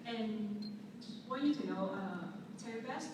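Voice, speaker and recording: A woman with a Hong Kong accent asking an audience question in halting English. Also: medium pitch, lively intonation. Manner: halting, non-fluent English. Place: large convention panel hall. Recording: echoey hall livestream, distant, noisy.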